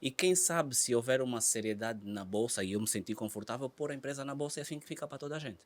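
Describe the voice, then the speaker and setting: An Angolan man with an Angolan accent talks in a loose, casual podcast chat at an ordinary middle pitch. He stumbles over his openings and repeats phrases. When he launches into an anecdote his voice shoots up loud and high. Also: fast talker. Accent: Angolan Portuguese. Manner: animated, repeats words, bursts high and loud when telling stories. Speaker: comedian guest.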